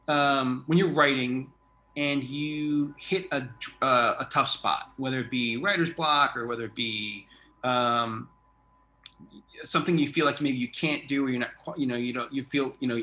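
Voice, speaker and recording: Male interviewer, bright crisp-edged tone, mid pitch. Conversational, slightly rambling, feeling his way through each thought. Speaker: interviewer, theatre artistic director.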